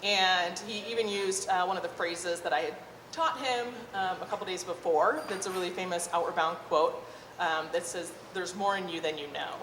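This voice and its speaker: A woman tells a personal story live, informally and with hesitant pauses. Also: fairly low pitch, a bit bright. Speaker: amateur storyteller. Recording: echoey hall, faint background noise.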